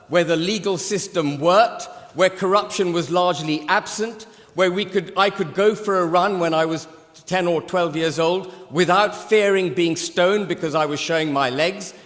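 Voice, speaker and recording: A man in his sixties, from Pakistan, giving a forceful, rhetorical debate speech with emphatic repetition. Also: fairly high pitch. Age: 60s. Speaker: debate speaker. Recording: long hall reverb.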